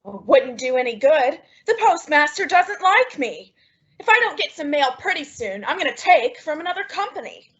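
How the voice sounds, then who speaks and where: A woman playing a dramatic, scheming housewife in an old-time radio sitcom, with exaggerated, drawn-out comic emphasis. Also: high voice, sing-song, fairly bright tone. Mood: disappointed, wry. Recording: dull lo-fi old radio recording.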